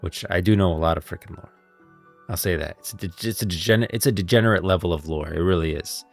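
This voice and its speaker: Deep-voiced man, casual streamer. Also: quick pace, gravelly, faint music behind. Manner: informal, emphatic, opinionated. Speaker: livestream host. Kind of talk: casual game lore commentary.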